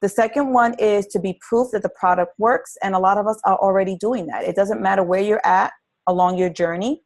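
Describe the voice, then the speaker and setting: A woman's voice: mid-range voice, fairly even intonation, fairly quick. Earnest woman coaching new team members. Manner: earnest and encouraging, with frequent "um"s and restarts. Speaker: fitness business coach leading a team training call. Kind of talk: live conference-call training presentation. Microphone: conference call.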